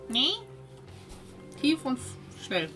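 A woman with a fairly high voice plays a tabletop role-playing game. She chats casually, half in character, with music clearly audible behind her. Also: big pitch swings, drawn-out words.

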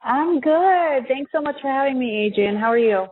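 A woman's voice: sits high, expressive, normal speed. An American woman in her forties chatting casually as a guest in a podcast interview. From United States. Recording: thin, phone-like line.

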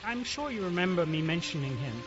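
A man playing a jovial, effusive middle-aged country gentleman with a British accent in an audio drama. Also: sits high, animated, a little fast, music in the background. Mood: hearty, welcoming. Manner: hearty, genial, effusive. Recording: dull, reverberant, faintly noisy recording.

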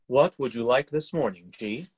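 A man's voice: medium pitch, moderate pace, slightly rough, lightly acted. A man in a play reading, playing an obliging, cheery talking smart refrigerator.

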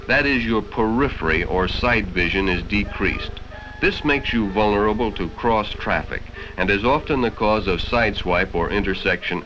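Deep-voiced American man, stern vintage film narrator. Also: fairly bright tone. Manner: stern, lecturing, condescending. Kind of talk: scripted educational film narration, 1960s. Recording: dull vintage film soundtrack with music.

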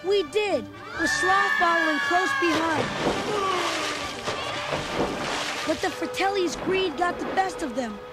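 A performer playing an excitable young boy who tells his adventure as animated, dramatic read-along storybook narration. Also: very high voice, normal speed, low-quality recording. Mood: excited, urgent. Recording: loud music under the voice. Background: loud dramatic music.

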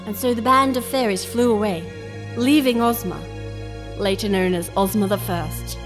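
A woman's voice: medium pitch, normal speed, lightly acted. Woman as fairy-tale narrator over music. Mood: storytelling.

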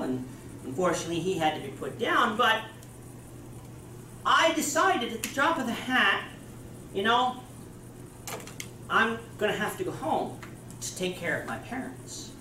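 Young male preacher, lively rising and falling delivery, captured from a distance. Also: high voice, unhurried, pauses often, a little hiss or hum. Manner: gestures broadly while preaching, explanatory. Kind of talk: sermon. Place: church meeting room with projector screen. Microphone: far camera. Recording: roomy hall, distant mic.

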